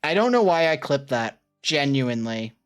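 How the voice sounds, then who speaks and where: A woman's voice: extremely low pitch, very animated, moderate pace, soft background music. A woman streamer chatting casually and rambling as she reacts to her old clips.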